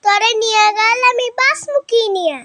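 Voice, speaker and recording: A woman's voice: extremely high pitch, normal speed, pushed and bright, no echo. A woman voicing a sweet little farm girl in a cartoon. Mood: scolding, pleading. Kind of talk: cartoon dubbing.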